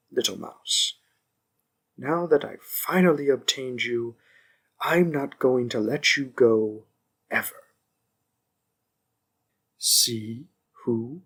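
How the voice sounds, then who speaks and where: Man playing slow-talking, possessive young mafia boss. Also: medium pitch, slightly rough, exaggerated voice. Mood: possessive, menacing, then smug. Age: young adult. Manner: slow, deliberate, condescending, silkily polite. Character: young mafia boss, smug, possessive, calmly menacing schemer. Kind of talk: scripted audio roleplay addressed to one listener.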